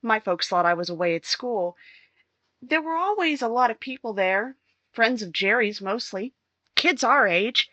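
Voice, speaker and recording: An actress playing a distraught young wife in a 1950s-style radio crime drama, emotional, halting and pleading. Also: female voice, on the high side, expressive, sharp tone, no room sound, heavily acted. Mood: anguished recounting. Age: young adult.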